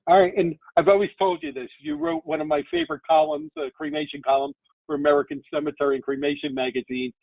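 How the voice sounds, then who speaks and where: A man's voice: very high voice, big pitch swings, fast, gravelly, dry recording. A man hosting an interview over a video call, asking chatty, friendly questions. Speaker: interview host. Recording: tinny dry phone-like call audio.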